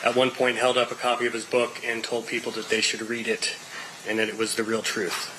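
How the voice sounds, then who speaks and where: American male witness over clear noise. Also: mid-range voice, completely flat delivery, a little fast. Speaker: witness testifying. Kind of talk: courtroom testimony. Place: courtroom witness stand.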